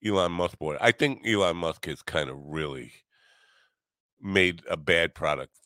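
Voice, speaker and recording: A man in his early sixties with a deep voice, recorded dry with no room around it. He hosts a casual solo podcast, rambling from thought to thought in a halting way. He is self-deprecating and drops in the odd curse. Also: pushed and bright, no echo. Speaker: podcast host.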